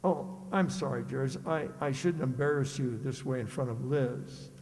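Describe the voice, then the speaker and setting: A man's voice: mid-range voice, moderate pace, darkish tone, close to an everyday voice. A man playing a teasing, mock-sympathetic friend in an old-time radio sitcom. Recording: echoey hall.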